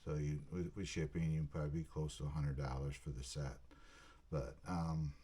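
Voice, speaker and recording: A man giving a casual, halting hands-on unboxing commentary, muttering to himself as he works. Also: extremely low pitch, flat delivery, dark tone. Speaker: video host, product unboxer. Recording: clear background noise, handling clicks.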